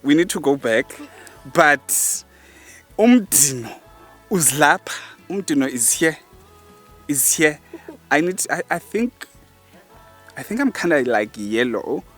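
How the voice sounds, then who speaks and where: A man's voice: a little high, sing-song, slow pace, pauses often, fairly bright tone, faint music behind. A man with a South African accent talks casually as a vlogger, often repeating phrases, recorded outdoors on a camera microphone. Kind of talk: casual chatty vlog talk. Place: outdoors on a mountain walk. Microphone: camera microphone, no separate mic.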